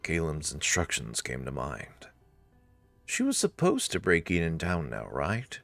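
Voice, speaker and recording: A man with a very low voice reads out story narration over faint music. He breaks off for casual, wry comments of his own. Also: wildly swooping pitch, unhurried, creaky, a bit bright, no room sound.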